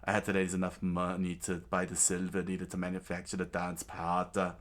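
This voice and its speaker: A scheming middle-aged villain, played by a man who savors every word of his plan. His low voice stays calm and drawling, with a cold, gloating edge. It sounds like a storybook retelling of a cartoon. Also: fairly quick, natural voice.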